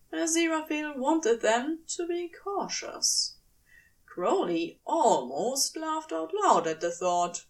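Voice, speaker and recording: A woman reading a story aloud as expressive scripted narration, dramatic and deliberate with pauses for emphasis. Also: a little high, distant mic. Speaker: fan fiction audiobook reader.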